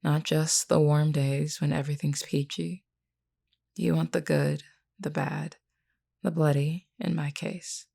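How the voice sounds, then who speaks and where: A woman playing a flirty, frank, self-assured werewolf in an intimate, unhurried audio roleplay spoken to the listener. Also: level tone, exaggerated voice. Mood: earnest, probing. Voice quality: low, creaky, mellow. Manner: unhurried, teasing yet earnest, deliberate.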